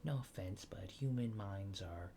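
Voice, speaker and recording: A man playing a laid-back, faintly apologetic alien fixer in a soft, hesitant, often near-whispered ASMR roleplay. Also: low voice, measured pace, a little hiss or hum. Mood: apologetic, dry. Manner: hesitant, understated, dryly matter-of-fact.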